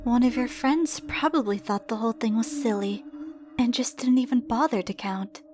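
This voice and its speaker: A woman playing the ghost of a dead girl in a game dub, cryptic and coldly taunting, speaking in a measured, ominous, breathy whisper. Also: on the high side, lightly acted. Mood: insinuating. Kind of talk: dramatic video game dub acting. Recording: background music under the voice.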